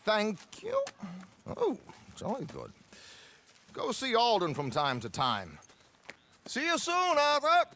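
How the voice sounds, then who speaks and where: A man playing a flamboyant, slippery gentleman conman in a game, with pompous, florid and affectedly polite speech. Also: very high-pitched, wildly swooping pitch, slow, long pauses, a little hiss or hum, full character voice. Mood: jovial. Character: theatrical, flamboyant gentleman conman, slippery and self-consciously eloquent. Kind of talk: video game cutscene dialogue.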